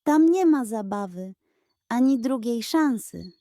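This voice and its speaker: A woman's voice: a little high, expressive, slow pace, dark tone, dry recording. A woman voicing a kindly, patient old grandfather who explains things earnestly in a children's story. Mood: cheerful.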